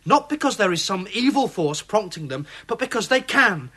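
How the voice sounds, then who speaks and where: An actor playing a skeptical police inspector in a radio play, clipped and dismissive. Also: male voice, high-pitched, big pitch swings, moderate pace, bright, forceful tone, slightly rough, exaggerated voice. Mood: heated. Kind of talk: radio drama acting.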